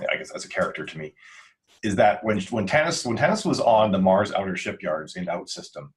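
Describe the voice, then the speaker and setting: Very low-voiced man chatting casually. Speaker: podcast crew member and author. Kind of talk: casual podcast chat.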